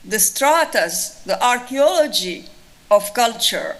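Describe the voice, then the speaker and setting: A woman's voice: on the high side, animated, slow. A woman with a Tunisian accent, a lecturer answering an audience question thoughtfully, with frequent restarts. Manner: thoughtful, restarts often, many fillers. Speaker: lecturer on Islamic art.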